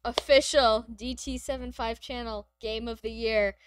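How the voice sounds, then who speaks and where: Hoarse woman streamer, giggly and rambling, dry mic. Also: a little high, fairly even intonation, unhurried, dry recording, low-quality recording. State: nearly lost voice, sore throat. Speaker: streamer co-host. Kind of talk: casual stream chat reviewing games.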